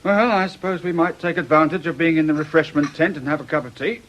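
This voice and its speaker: An actor with a British accent playing a polite, measured, probing police detective in a radio drama. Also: male voice, on the high side, fairly even intonation, lo-fi sound, slight background noise, natural voice. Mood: courteous, regretful.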